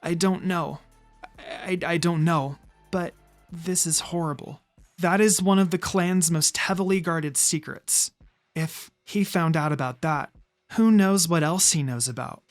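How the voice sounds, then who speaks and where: A narrator voicing a timid, gentle, anxious teenage girl ninja who speaks hesitantly and stammers in a story reading. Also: medium pitch, a little slow, faint music behind, pitched below the performer's own voice, natural voice.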